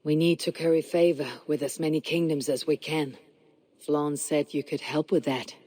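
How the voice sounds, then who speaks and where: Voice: medium pitch, little pitch movement, moderate pace, a little mellow, lightly acted. An actor playing a blunt, confident Viking raider. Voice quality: blunt, confident, dryly witty. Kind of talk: video game cutscene acting.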